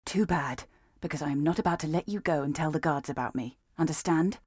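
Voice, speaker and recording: A woman's voice: sits low, a little fast, a little mellow, slight background noise, lightly acted. An actress playing a stern, sardonic female assassin in a video game, with a dry, threatening delivery.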